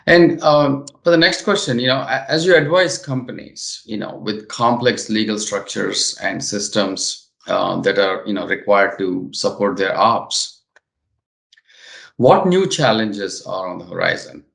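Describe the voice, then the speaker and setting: A man hosting a business interview, speaking in halting, chatty phrases, in a roomy echoing recording. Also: lowish voice, expressive, gravelly, a bit bright. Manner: halting, chatty phrasing with frequent "you know". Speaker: interview host.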